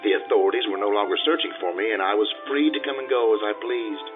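An actor playing a vain forty-year-old social climber posing as a gentleman, pompous and fussy, in the theatrical style of old-time radio drama. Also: fairly low pitch, fast talker, phone-quality sound, soft background music, close to an everyday voice. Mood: reflective narrating.

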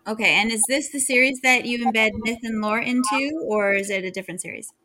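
A woman's voice: on the high side, fairly quick, noisy background. A woman hosting a writing podcast, chatting eagerly with her guest. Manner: upbeat, eager. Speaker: podcast host. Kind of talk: casual interview chat.

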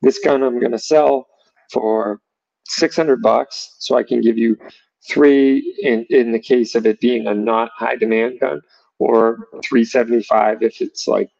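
A man's voice: medium pitch, steady tone, a little slow, creaky, a little mellow, no room sound, lo-fi sound. A man chatting casually as an interview guest, explaining things and acting out bits of imagined dialogue. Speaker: gun shop owner, podcast guest.

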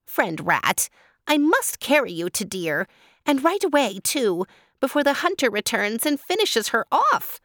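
A woman reading a storybook who voices a quick-witted female crow, speaking urgently and eagerly. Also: on the high side, sing-song, a bit bright, no echo, studio quality, voice pushed up.

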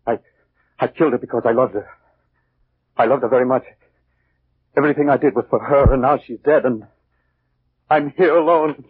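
Male actor as a desperate wife killer, vintage radio melodrama; rough-edged, halting, repetitive, very narrow tinny sound. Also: medium pitch, natural voice. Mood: dazed, grieving. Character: desperate, guilt-crazed husband turned wife killer. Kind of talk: 1940s radio acting.

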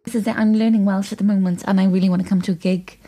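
A woman's voice: medium pitch, steady tone, very fast, loud music behind the voice. A woman co-hosting a comedy podcast, chatting casually and enthusiastically. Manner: enthusiastic, encouraging. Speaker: podcast co-host.

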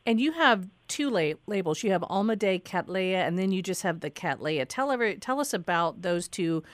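A woman's voice: mid-range voice, animated, moderate pace, no echo. A woman hosting an interview, chatty and leading the questions. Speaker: interview host. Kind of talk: casual interview chat.

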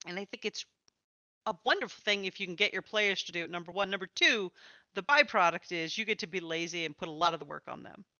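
A woman's voice: lowish voice, fast, bright, forceful tone, no room sound, lo-fi sound. A woman hosting a casual interview about tabletop games, talking in an encouraging, reassuring manner. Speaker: podcast host and interviewer, also a game master.

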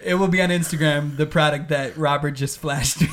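A man's voice: a little high, fairly even intonation, brisk, a bit bright, slight background noise. A young man hosting a podcast in casual chat, rambling and laughing often. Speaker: podcast host.

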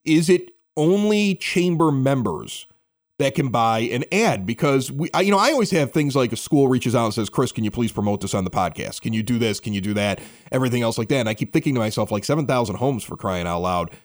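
A man's voice: mid-range voice, animated, a little fast. An American man hosting a podcast, talking in a chatty, informal way. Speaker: podcast host. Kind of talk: conversational interview and ad reads.

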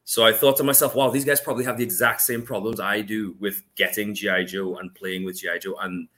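A middle-aged man with a Trinidadian accent speaks as a podcast guest. His voice sits low but has a bright, forceful edge. He rambles in a relaxed way, restarting his sentences often. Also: a little fast. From Trinidad and Tobago. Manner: rambling, full of restarts and fillers. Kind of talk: casual podcast chat.

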